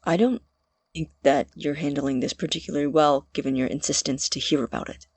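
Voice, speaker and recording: An actor playing a nervous, defensive male college student scientist in an audio drama, with a halting, earnest delivery and a slightly husky, breathy voice. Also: medium pitch, no room sound.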